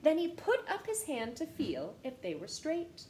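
A woman in her thirties reading a children's picture book aloud in a living room, with an animated, sing-song manner that speaks straight to young listeners. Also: sits high, unhurried, roomy sound, a little hiss or hum. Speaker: storytime reader. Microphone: camera in front at close range.

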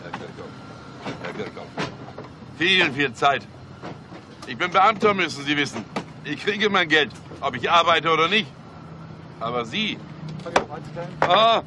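A man's voice: fairly high pitch, big pitch swings, a little slow, pauses often. An actor playing a needling, sly chief inspector in a radio play, drawing out his questions with sardonic insistence. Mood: sardonic, pressing. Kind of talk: German radio play acting. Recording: noisy outdoor scene with vehicle ambience.

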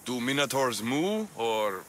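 Pompous, skittish would-be hero. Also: male voice, mid-range voice, very animated, slow, a bit bright, a little hiss or hum. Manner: grandiose, skittish. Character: pompous but cowardly bearded would-be hunter man. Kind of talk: comic video game acting.

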